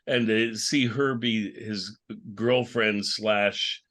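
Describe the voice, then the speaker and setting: A man's voice: on the low side, steady tone, drawn-out words, a bit bright. A man hosting a panel in an informal, jokey, chatty style. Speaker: podcast host moderating panel. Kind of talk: casual chat.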